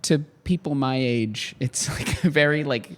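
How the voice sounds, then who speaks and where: A man's voice: medium pitch, unhurried, fairly bright tone. A young man co-hosts a podcast in a casual, easy register. He rambles through his thoughts, stringing them together with constant "like" and restarts. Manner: rambling, lots of "like". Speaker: podcast co-host. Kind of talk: casual chat. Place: clothing store.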